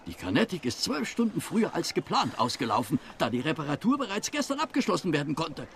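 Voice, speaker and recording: A sly, fawning detective. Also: male voice, on the high side, sing-song, quick pace, fairly bright tone, a little hiss or hum. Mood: fake dismay. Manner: theatrical, swooping, overly polite. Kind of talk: radio play acting.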